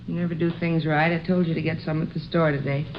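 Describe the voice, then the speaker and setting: A woman's voice: deep voice, little pitch movement, fast. A woman playing a bitter, nagging housewife in a 1950s radio drama, with a sullen delivery. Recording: muffled, crackly old radio recording.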